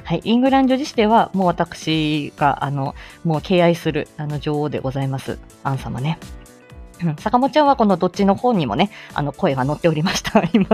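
A woman's voice: deep voice, a little mellow. A woman hosting a casual live chat with announcements, talking in a polite, flustered, giggly and self-deprecating way. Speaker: livestream host.

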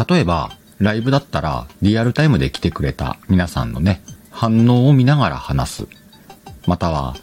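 A man with a very low, animated voice chats casually as a solo podcast host. He is playful and often corrects himself. Faint music sits behind his voice on the external microphone. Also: slightly rough. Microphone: external microphone, recorded on a computer.

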